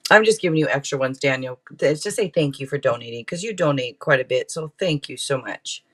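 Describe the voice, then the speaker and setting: A man's voice: medium pitch, expressive, a little fast. A man hosting a casual live tarot reading, chatting to viewers in a rambling, playful way with frequent asides. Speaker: tarot reader and livestream host.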